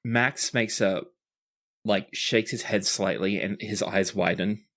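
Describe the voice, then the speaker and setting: A man's voice: on the low side, fairly even intonation, takes it slowly, fairly bright tone. A man narrating a tabletop roleplaying game as game master, describing scenes in a conversational way.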